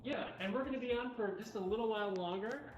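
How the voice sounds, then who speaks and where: A woman's voice: on the high side, quick pace, darkish tone, lo-fi sound. A woman co-hosting a livestream, talking casually to viewers. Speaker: cat cafe livestream co-host. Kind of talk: casual live chat. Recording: echoey room, faint music behind.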